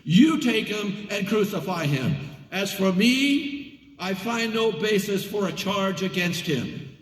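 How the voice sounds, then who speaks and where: A man playing a commanding, exasperated Roman governor with loud, theatrical declamation in a dramatic scripture reading. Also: high voice, lively intonation, slow, roomy sound, big-room sound, far from the mic, pitched above the performer's own voice, poor audio.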